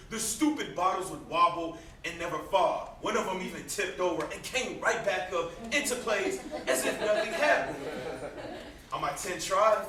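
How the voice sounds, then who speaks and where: A man's voice: high voice, sharp tone. A man acting on stage as a teasing but protective older brother, with a sarcastic, needling, theatrical delivery. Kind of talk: amateur stage play acting. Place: indoor stage, recorded from afar. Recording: echoey stage, distant noisy camera microphone.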